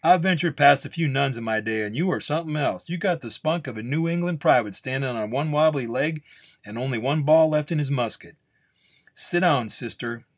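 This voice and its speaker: A man voicing an older male gunslinger in a Western story, gruff, wry and haggling in folksy, drawling speech. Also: medium pitch, moderate pace, pitched above the performer's own voice, lightly acted. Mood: gruff, teasing.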